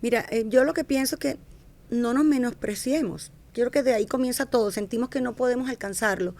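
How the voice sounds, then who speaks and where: A woman from America, middle-aged, sits as a guest on a podcast and talks about her career. Her voice sits a bit high for a woman. She often stops to correct herself and brings in quoted voices as she goes. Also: brisk. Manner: animated, warm storyteller, acts out quoted speech, restarts and corrects herself. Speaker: podcast guest, fashion entrepreneur. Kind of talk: casual interview storytelling.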